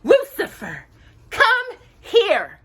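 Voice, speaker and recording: A woman voicing a kind young storybook heroine who can turn brisk, in an expressive, sing-song manner. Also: very high-pitched, voice pushed up, full character voice. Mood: impatient, sarcastic. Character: kind young woman, gentle fairy-tale heroine, briskly bossy with animals.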